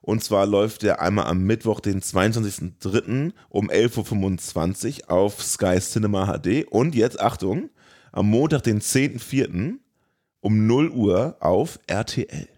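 A man with a fairly low voice co-hosts a relaxed talk podcast. He speaks in colloquial, joking phrases, slipping between reading aloud and off-the-cuff banter. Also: a little slow. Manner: colloquial, jokey. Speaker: podcast co-host. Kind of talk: casual banter, reading out news and TV listings.

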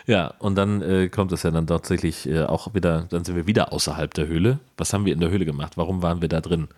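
A man's voice: sits low, steady tone, fast, a little mellow. A man in a casual film discussion as a podcast host, talking in a colloquial, joking way.

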